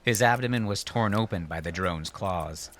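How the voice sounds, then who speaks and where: A man narrating scripted fiction as an audiobook reader, in a measured, dramatic manner. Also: low-pitched, a bit bright, slight background noise.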